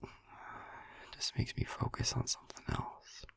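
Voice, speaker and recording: Man playing a slow, whispering, gravelly vampire boyfriend. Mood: musing, soft. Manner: soft, halting, soothing, casual. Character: gentle, caring vampire boyfriend soothing listener to sleep. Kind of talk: ASMR sleep-aid roleplay. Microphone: close, dry microphone.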